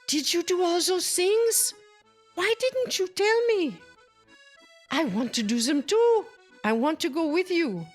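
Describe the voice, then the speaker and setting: A woman voicing a daring, excitable young girl in a storybook, pleading and insistent. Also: extremely high pitch, takes it slowly, soft background music, voice pushed up, heavily acted. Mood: eager, pleading. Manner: excitable, emphatic.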